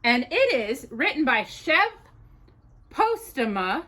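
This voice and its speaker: A woman reading a children's picture book aloud as a storytime host, with expressive, deliberate delivery for a young child. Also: sits high.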